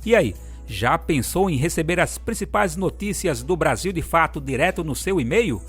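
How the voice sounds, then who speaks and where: A man's voice: a little high, animated, normal speed, breathy edge, soft background music. A man reading an upbeat, chatty scripted promo for the program. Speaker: program announcer.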